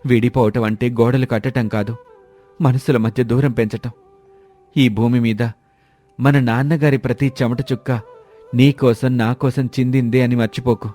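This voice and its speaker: A man's voice: medium pitch, little pitch movement, moderate pace, dark tone, soft background music, lightly acted. A man playing a protective, earnest elder brother from a village family in a moral story. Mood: shocked, earnest. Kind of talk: moral story character dialogue.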